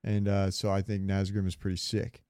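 A man's voice: deep voice, flat delivery, normal speed, mellow, dry recording, studio quality. A man co-hosting a podcast, chatting about games in an informal, joking way. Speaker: podcast co-host. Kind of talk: casual gaming chat, ranking voice lines.

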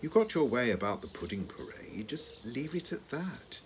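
A man acting in an audio play as a long-suffering, placid retired British husband, older, with a dry, reasoning, gently needling manner. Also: mid-range voice, big pitch swings, normal speed, a little hiss or hum, natural voice. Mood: exasperated.

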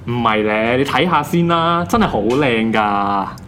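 An actor playing an excitable, insistent office colleague in a radio drama. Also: male voice, mid-range voice, unhurried, a little hiss or hum. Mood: excited, insistent. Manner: excited, urging. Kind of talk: Cantonese radio drama acting.

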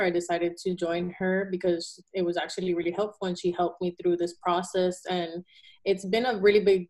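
A woman's voice: fairly low pitch, a little mellow, low-quality recording. A young woman from Venezuela, a guest in a casual interview, talking earnestly with fillers and self-corrections.

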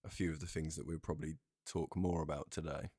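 Deep-voiced man in casual chat, dry sound. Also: steady tone, fast talker, warm tone, slightly rough, no echo. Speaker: podcast co-host.

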